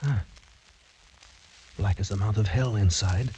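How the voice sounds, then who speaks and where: Lowish-voiced, hunted young cavalier. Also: male voice, unhurried, mellow, a little hiss or hum, rough recording. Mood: desperate. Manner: urgent, dramatic. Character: hunted young French cavalier, anxious but brave. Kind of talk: 1940s radio drama acting. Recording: vintage radio drama recording.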